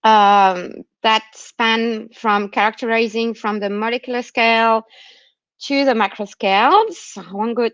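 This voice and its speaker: A woman with a fairly high voice and a non-Australian accent presents research in an explanatory, academic way. She often checks that listeners follow with short tags. The sound is dry and somewhat dull, like an online call. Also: a little slow, a bit bright, no echo. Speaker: university researcher giving a talk. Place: remote online talk during lockdown. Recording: muffled lo-fi online call audio.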